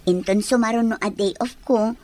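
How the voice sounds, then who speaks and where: A woman's voice: a little high, fairly even intonation, normal speed, a little mellow, close to an everyday voice. A woman acting as an earnest, caring young working daughter in an Ilocano audio drama. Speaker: drama actress. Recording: clear background noise and ambience.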